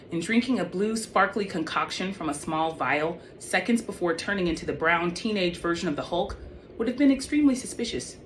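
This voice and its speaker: A woman with a fairly low voice reads as an author presenting her own fiction. She speaks in an audiobook style, and there is some faint noise in the recording. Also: fairly bright tone. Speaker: author reading own book. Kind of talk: audiobook-style reading of young adult fiction. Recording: clearly noisy background.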